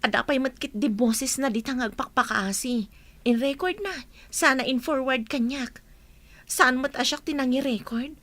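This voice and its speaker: A woman's voice: high voice, moderate pace, a bit bright, no echo. A woman playing a worried, insistent rescuer in a comedy radio drama. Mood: anxious.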